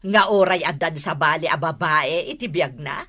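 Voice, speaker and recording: A woman's voice: deep voice, normal speed, pushed and bright. A woman playing a stern older mother in a radio drama, blunt and firmly scolding. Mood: reproachful.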